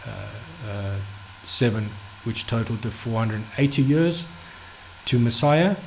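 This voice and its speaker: Halting man explaining scripture. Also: mid-range voice, animated, gravelly, a little hiss or hum. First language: not Hebrew. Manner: halting, many restarts and fillers, checks in with "okay?". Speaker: Bible teacher. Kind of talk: unscripted screen-share Bible study.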